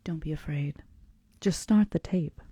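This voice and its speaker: A woman reading the part of a calm, melancholy male vampire who tells of his past in a gentle, measured, confidential way. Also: low voice, breathy edge, no echo, clean studio sound. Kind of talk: audiobook-style character reading.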